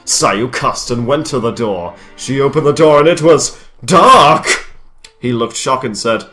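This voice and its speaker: A man's voice: medium pitch, expressive, measured pace, pushed and bright, soft background music. A man hosting a comic read-aloud of a fan story, narrating and breaking off with mocking asides. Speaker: reader, host. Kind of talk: comic dramatic reading.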